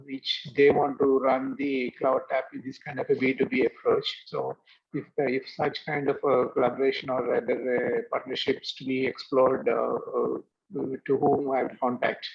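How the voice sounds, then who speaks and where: A man asking a business question over a video call, hesitant with many "uh" pauses. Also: mid-range voice, flat delivery, creaky. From India. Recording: dull, muffled call audio.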